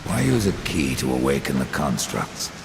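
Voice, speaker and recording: Grim, gravelly, very deep-voiced horseman. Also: moderate pace, noisy background, full character voice. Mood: skeptical. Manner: terse, dry, deadpan. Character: grim, sardonic, commanding horseman warrior. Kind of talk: dramatic video game cutscene acting.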